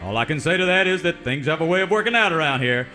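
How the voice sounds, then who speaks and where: Grandiose high-pitched radio serial announcer. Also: male voice, expressive, fast talker, sharp tone, faint music behind. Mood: grand wrap-up. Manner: melodramatic, mock-heroic. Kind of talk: 1940s radio serial narration parody.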